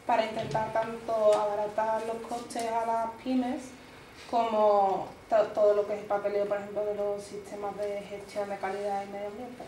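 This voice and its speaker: A woman working as an environmental consultant, introducing herself at a meetup in a steady, explanatory way. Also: mid-range voice, normal speed. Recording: echoey room with background noise.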